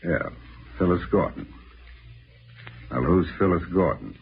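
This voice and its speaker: An actor playing a tough, sardonic American detective, blunt and defiant, in 1940s radio drama style. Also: male voice, low voice, lively intonation, unhurried, creaky, husky, full character voice. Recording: dull, noisy old radio recording.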